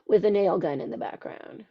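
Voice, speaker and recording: A woman hosting a podcast, her voice squeaky from a cold, chatting casually and then slowly reading a ritual invocation. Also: muffled recording. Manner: slow, deliberate, chanting readings. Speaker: podcast host.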